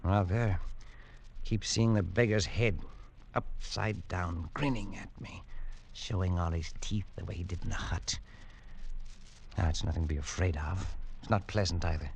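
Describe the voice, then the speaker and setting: A man's voice: low-pitched, moderate pace, gravelly, a little hiss or hum. A man playing a nervous, haunted colonial traveller in an old radio drama, speaking in an agitated, dramatic manner. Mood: uneasy, defiant. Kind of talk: 1940s radio acting. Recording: vintage radio recording, loud music behind.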